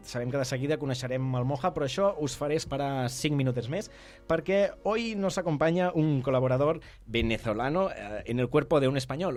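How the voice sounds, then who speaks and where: A man with a Catalan accent co-hosts a casual talk show. His pitch is average for a man. Faint music plays behind him. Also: darkish tone. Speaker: co-host.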